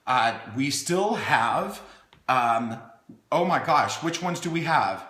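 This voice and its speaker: Animated, bright-voiced man, theatrical storytime host. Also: medium pitch, takes it slowly. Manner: theatrical, talks as if to small children. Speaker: online host reading a children's book. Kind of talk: playful storytime reading with chatty asides. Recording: echoey room.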